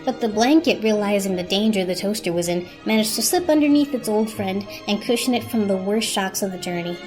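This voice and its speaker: A woman reading scripted story narration as a narrator, in a measured, literary storytelling manner. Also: mid-range voice, darkish tone, soft background music, low-quality recording.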